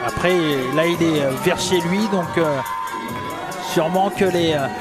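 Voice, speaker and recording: A man from Brittany calls a wrestling show in a lively, talkative manner, his voice sitting high. Loud crowd noise and music play under his commentary. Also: unhurried. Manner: lively, chatty, often addresses co-host.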